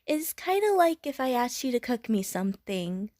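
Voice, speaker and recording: A woman's voice: on the high side, very animated, moderate pace, mellow, no echo, studio quality. A woman playing an innocent young water slime creature in an audio roleplay, with a childlike, playful, gushing manner. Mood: gentle teasing. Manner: childlike, playful, gushing, punctuated with little bloop noises. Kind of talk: audio roleplay addressed to the listener.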